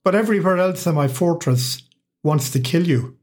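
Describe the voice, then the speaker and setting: A man voicing a stern middle-aged master of a fortress, who speaks slowly and gravely. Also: on the high side, a little mellow, natural voice. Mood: stern warning.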